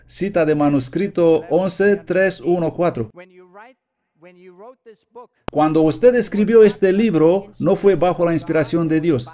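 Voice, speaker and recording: An earnest male preacher delivers a sermon with steady conviction. His voice sits a little high yet stays soft and mellow. He reads quoted passages aloud with careful emphasis. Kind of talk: sermon read from notes, Spanish voice-over.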